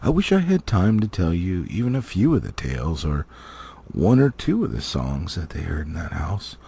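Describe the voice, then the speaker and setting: Husky, expressive man reading a story. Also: low-pitched, moderate pace. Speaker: amateur audiobook reader.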